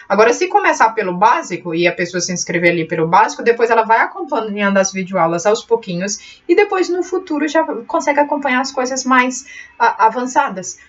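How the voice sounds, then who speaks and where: A woman's voice: on the high side, distant mic. A woman with a mixed Brazilian and European Portuguese accent, hosting a beginners' computer channel. She talks in a chatty, encouraging way, full of fillers and restarts. The voice sits a little back from the mic in a dull, lo-fi home recording. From born in Brazil, raised in Portugal. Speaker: computer tutorial channel host. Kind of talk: casual talk to camera about her channel.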